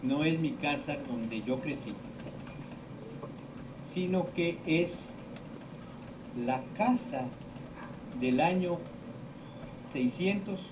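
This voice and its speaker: A middle-aged man, a teacher of esoteric topics, giving a slow, rambling informal lecture with many pauses and quoted dialogue. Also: a little high, lively intonation. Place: lecture room. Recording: echoey room, distant mic, dull lo-fi sound, noisy.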